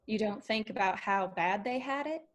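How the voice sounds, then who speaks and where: A woman's voice: medium pitch, moderate pace, close to an everyday voice. A woman reading the part of a bold, blunt young woman in a screenplay table read, asking challenging questions. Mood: probing. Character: Charlie, bold blunt young woman joining country band. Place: remote virtual table read.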